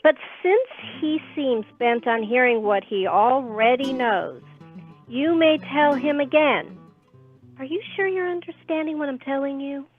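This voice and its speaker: A woman performs a genteel young lady of radio drama, proud and hurt. Her voice is very high and her wording formal and imperious. The sound is phone-like, with faint guitar music. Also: expressive, a little slow. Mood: haughty, hurt. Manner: formal, haughty, precise wording. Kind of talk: radio play acting.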